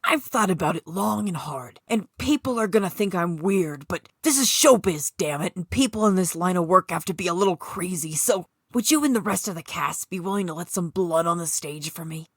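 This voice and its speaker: A woman's voice: fairly low pitch, expressive, brisk, hardly any pauses, breathy edge, no room sound, studio quality. A woman voicing a solemn, earnest old ghost of a theatre founder in a story reading. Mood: earnest, pleading.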